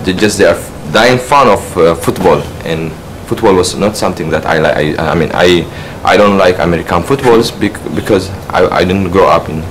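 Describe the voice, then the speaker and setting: A man from Eritrea giving a halting practice speech about himself, restarting often as he searches for words. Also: low-pitched. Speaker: speech trainee. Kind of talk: practice self-introduction speech. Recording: noisy room ambience.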